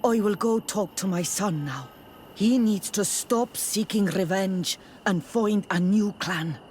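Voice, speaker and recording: A woman playing a guarded, protective village mother in a video game, earnest, worried and deliberate in her plain speech. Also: medium pitch, a little mellow, slight background noise, close to an everyday voice. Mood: worried, resigned. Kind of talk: video game dialogue acting.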